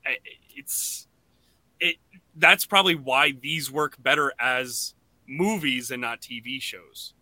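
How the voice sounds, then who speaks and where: A man's voice: mid-range voice, takes it slowly, bright, forceful tone, no echo, low-quality recording. A man co-hosting a podcast in a casual panel discussion. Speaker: podcast co-host.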